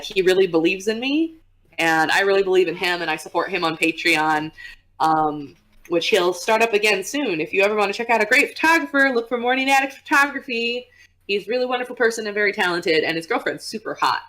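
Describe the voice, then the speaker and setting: A young woman with a low, easygoing voice that carries a soft rumble. She talks in a loose, chatty way, laughing often as a podcast guest. Her pitch sits a little under most women's. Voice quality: low voice with a relaxed vocal rumble. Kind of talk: casual podcast interview.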